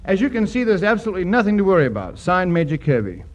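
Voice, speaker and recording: Kindly high-voiced major. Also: male voice, big pitch swings, fast talker. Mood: amused, kindly. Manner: avuncular, reassuring. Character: kindly, protective army major. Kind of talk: 1940s radio comedy acting. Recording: noisy 1940s radio recording.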